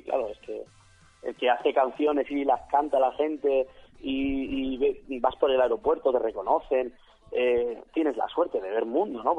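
A husky, slightly hoarse man talks loosely as an interview guest. He rambles and puts on other people's voices in quotes. Faint music runs under the radio studio sound. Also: mid-range voice, narrow, tinny audio. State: hoarse after a weekend of shows. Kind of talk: casual interview chat.

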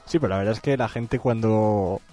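A mellow-voiced man hosts a relaxed radio interview. He backs up his guest with short agreements. Soft music plays faintly behind. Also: on the low side, normal speed. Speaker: radio host. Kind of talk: casual interview chat.

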